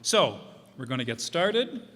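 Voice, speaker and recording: A man introducing a show informally, reading from notes in a casual, halting, musing way. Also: medium pitch, big pitch swings, sharp tone. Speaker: presenter introducing a radio players' show. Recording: echoey hall.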